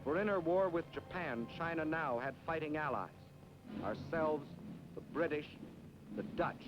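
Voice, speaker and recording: A man's voice: on the high side, unhurried. An American man narrating a wartime propaganda film in a grave, emphatic, declamatory 1940s newsreel style. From United States. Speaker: documentary narrator. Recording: dull 1940s film soundtrack. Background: orchestral music.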